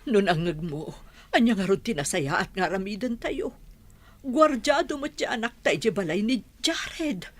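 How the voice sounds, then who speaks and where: A woman's voice: mid-range voice, expressive, moderate pace, lightly acted. A woman with an Ilocano accent playing an anxious, cautious middle-aged mother in a radio drama, hesitant and fretful. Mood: anxious warning.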